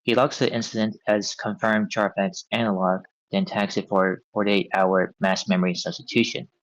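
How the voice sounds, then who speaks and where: Male narrator for script directions; lowish voice, scripted read, slightly muffled lo-fi audio. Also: little pitch movement, moderate pace. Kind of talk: reading stage directions at a table read. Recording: dull, band-limited audio.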